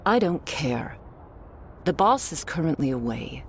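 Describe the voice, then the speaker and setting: Stern female vampire guard, played by an actress: very low register, unhurried, commanding, protective, audio drama. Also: very animated, a little hiss or hum, exaggerated voice. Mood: irritated. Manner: curt, scolding, commanding. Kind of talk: scripted roleplay audio drama.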